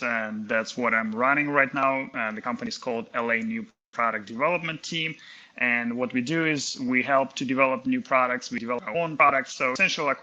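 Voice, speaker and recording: Inventor guest, a man from Kazakhstan in his 30s; very bright voice, stop-start and hesitant. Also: medium pitch, highs cut off. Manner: hesitant, many fillers and restarts. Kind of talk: casual interview talk.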